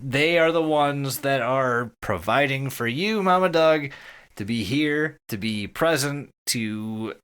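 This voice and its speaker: A podcast co-host with a bright, lively delivery. The talk is casual and joking among friends, effusive and peppered with profanity, with the pitch moving widely. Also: slow, smooth. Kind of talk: casual, joking group chat.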